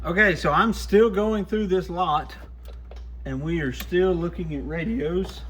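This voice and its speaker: A man with a slightly high voice talks casually through testing gear, plainspoken and folksy. He sounds like a shop technician hosting his own video. There is faint noise behind him. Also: a little slow. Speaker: host, CB radio shop technician testing used radios. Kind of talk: casual hands-on product testing commentary.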